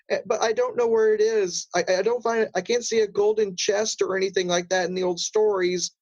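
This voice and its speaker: A man voicing a small, naive but scheming cartoonish crab in improvised comedy, hesitant, pleading and rambling. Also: lo-fi sound. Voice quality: high-pitched, small cartoonish voice. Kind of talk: improvised comedy role-play.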